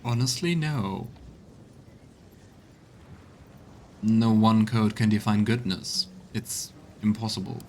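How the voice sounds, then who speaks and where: A man's voice: on the low side, unhurried, a little hiss or hum, lightly acted. A man voicing an earnest, principled adventuring bard in role-played video game dialogue, reasoning firmly. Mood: frank.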